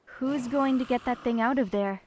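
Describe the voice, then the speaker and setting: A woman playing an anxious, caring young survivor with a worried manner in an animated webcomic dub. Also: a little high, normal speed, slight background noise, natural voice.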